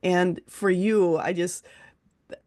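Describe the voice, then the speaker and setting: A woman's voice: fairly low pitch, steady tone, slow pace, a little mellow. A woman hosting an interview, speaking earnestly and at length as she relays messages from her spirit guides. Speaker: host, teacher and medium. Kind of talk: casual interview chat.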